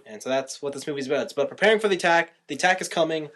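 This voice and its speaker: A man's voice: on the high side, racing, fairly bright tone. A man hosting a podcast, chatting casually and explaining things in an informal way about a movie. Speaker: podcast host. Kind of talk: casual movie review chat.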